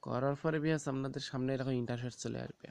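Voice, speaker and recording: A man gives an informal, halting step-by-step phone app tutorial, often repeating himself. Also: medium pitch, steady tone, vocal fry, a little mellow. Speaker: tech tips tutorial host. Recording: very noisy, rooster crowing and clicks behind.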